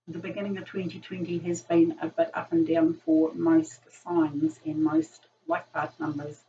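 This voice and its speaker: A woman with a croaky voice from a virus, chatting casually through a tarot reading, full of ums. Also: fairly low pitch. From New Zealand. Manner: chatty, filler-heavy, frequent ums. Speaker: tarot reader. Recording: distant lo-fi room mic, faint noise.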